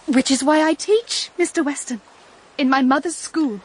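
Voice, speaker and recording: An actress playing an earnest, idealistic young Victorian governess in a radio drama, speaking in a measured, heartfelt, literary way. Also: female voice, high-pitched, a little hiss or hum, lightly acted. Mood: shyly earnest. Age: young adult. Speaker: actress and narrator of a period drama. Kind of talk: period radio drama acting and narration.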